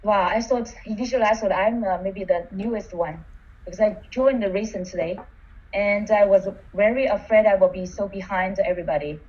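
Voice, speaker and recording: A woman whose first language is not English, earnestly sharing her experience as a coaching student, halting and restarting as she speaks. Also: medium pitch, a little mellow, husky, dull audio. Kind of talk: sharing a personal testimony.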